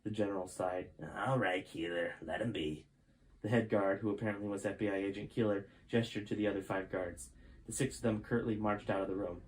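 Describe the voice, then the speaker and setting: A man's voice: lowish voice, fairly even intonation, fast, darkish tone, slight background noise, rough recording. A man narrating his own novel in a plain reading voice, with occasional slips and restarts. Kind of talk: storybook narration.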